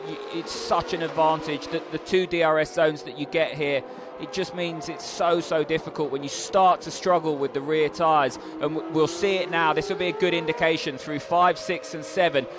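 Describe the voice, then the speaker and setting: A man breaks down race strategy as the expert co-commentator, often stumbling and restarting mid-sentence. His voice is a little high, and loud engine noise sits under it. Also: fairly even intonation, moderate pace. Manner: explanatory, with frequent restarts and fillers. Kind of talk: motorsport race analysis.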